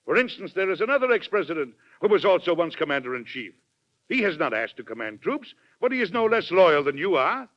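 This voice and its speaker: Firm American president, muffled old radio. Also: male voice, sits high, very animated. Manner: formal, authoritative, deliberate persuasion. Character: firm, weary, principled male American president. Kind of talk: 1940s radio drama acting. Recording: muffled 1940s radio recording.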